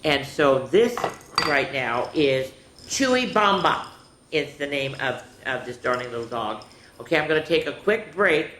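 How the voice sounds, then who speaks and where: A woman's voice: low voice, lively intonation, a little slow, a bit bright. A senior woman hosting a children's story time, chatty and instructive, often putting questions to the kids. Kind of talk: children's storytelling and activity talk. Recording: echoey room with faint noise.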